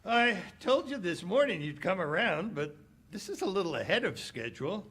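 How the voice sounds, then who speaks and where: An actor performing in 1940s radio style, playing men from a vintage broadcast with a hearty, deliberate delivery. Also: male voice, high voice, big pitch swings, fairly bright tone, slightly rough, full character voice. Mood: bitter, guarded. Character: folksy, enthusiastic 1940s soap company spokesman; bitter, guarded cafe owner. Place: live stage performance at a public library.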